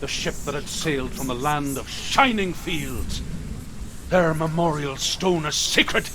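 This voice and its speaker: A man's voice: a little high, unhurried, background noise, natural voice. A man playing a solemn storyteller, reciting old lore in a grave, incantatory way for a game. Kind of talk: scripted dramatic recitation.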